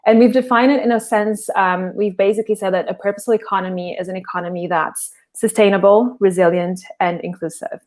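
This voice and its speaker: A woman's voice: medium pitch, fairly even intonation, fairly quick, few pauses, darkish tone. Portuguese-born woman explaining her work. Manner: thoughtful, restarts phrases while explaining. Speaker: guest. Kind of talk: casual interview chat.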